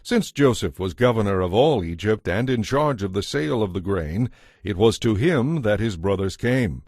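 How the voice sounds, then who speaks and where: A man's voice: fairly low pitch, lively intonation, normal speed, a little mellow, no echo, studio quality. A man reading scripted narration as the narrator of a dramatized audio Bible.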